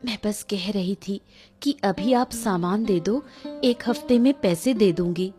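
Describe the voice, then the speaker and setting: A woman's voice: mid-range voice, a little slow, natural voice. A woman voicing a humble, wronged village wife, earnest and pleading, in a cartoon story. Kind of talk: cartoon story character acting. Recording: music under the voice.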